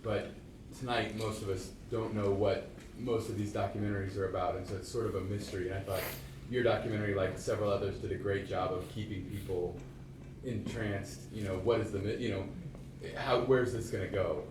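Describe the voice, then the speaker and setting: A man in the audience lays out a measured question in a fairly low voice. He sounds far from the microphone in an echoey hall with clear background noise. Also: fairly even intonation. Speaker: audience member. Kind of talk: asking a question at a Q&A. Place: screening hall.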